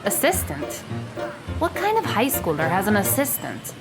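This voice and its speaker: A woman playing a guarded high school girl who speaks in precise, matter-of-fact and formal words in an audio drama. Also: wildly swooping pitch, normal speed, loud music behind the voice, a loud crowd. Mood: wary, blunt.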